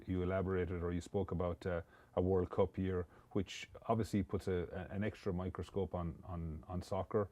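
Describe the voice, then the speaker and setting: A man's voice: low-pitched, warm tone. A man hosting a casual interview podcast, talking in a chatty, rambling way with many restarts. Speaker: podcast host.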